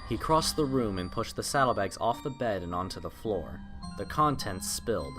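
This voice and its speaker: Low-pitched man narrating over music. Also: animated, moderate pace. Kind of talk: dramatic scripted horror story narration. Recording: prominent music under the narration.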